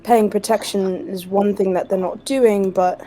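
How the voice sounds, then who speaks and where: Female tabletop player, dark warm timbre, persuasive and logical. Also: mid-range voice, fairly even intonation, unhurried, a little hiss or hum. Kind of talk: in-character tabletop role-play discussion.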